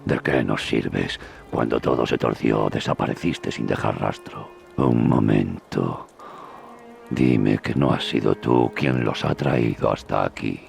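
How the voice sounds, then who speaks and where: An actor playing a bitter, accusing servant in a video game, with a gravelly, croaking, contemptuous delivery. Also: male voice, very deep voice, unhurried, a little mellow, faint music behind, heavily acted. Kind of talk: dubbed video game cutscene acting.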